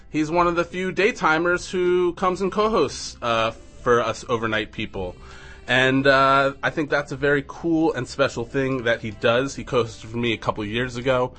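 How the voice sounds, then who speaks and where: Man, radio DJ, raspy, rambling chatter, forceful bright voice, studio mic. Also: mid-range voice. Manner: rambling, self-deprecating, halting restarts. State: raspy from coughing. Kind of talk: casual radio banter. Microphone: studio microphone.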